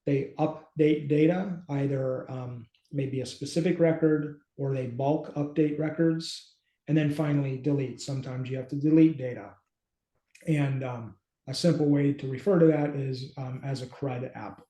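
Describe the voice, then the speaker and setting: A man in his thirties chatting informally as a co-host, thinking aloud in a halting way on a video call. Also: medium pitch, fairly even intonation, dark tone. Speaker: co-host, app company team member. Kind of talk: casual, informal demonstration chat. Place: small home office indoors. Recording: echoey room on a video call.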